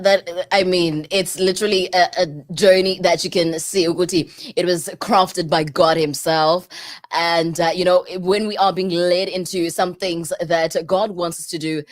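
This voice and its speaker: A woman hosting a radio interview, earnest and reflective as she asks questions. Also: on the low side. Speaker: radio show host.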